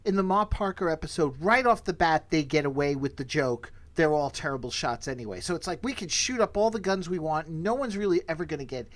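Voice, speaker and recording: A man's voice: on the high side, animated, brisk, few pauses. A man co-hosting a podcast, recapping casually in an amused, conversational way. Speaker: podcast co-host. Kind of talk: casual humorous episode recap.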